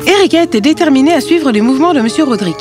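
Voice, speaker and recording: A woman narrates a children's fairy tale from a script. Her voice is high and expressively varied, with music clearly underneath. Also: lively intonation, very fast.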